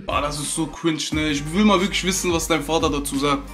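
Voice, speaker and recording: A man's voice: a little high, steady tone, fast, loud music behind the voice. A young man in his twenties with a cold, mocking a street video in casual, slangy reaction commentary from a small room at home. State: has a cold.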